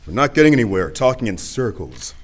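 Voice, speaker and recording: A man's voice: lowish voice, sing-song, a little fast. A man playing a practical officer keeping order in a radio play, firm and matter-of-fact. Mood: firm, reasonable. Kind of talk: full-cast radio play acting.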